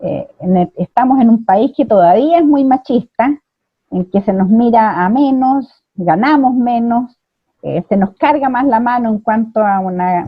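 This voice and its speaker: A woman's voice: on the high side, no echo. Chilean woman host on a tinny line. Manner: measured, with frequent hesitations. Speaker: interview host. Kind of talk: interview questions and comments. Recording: dry tinny phone-like line.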